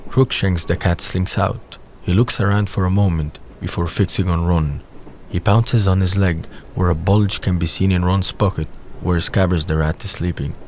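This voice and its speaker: Deep-voiced man narrating an audio drama. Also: fairly even intonation, moderate pace, a little mellow. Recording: faint background noise.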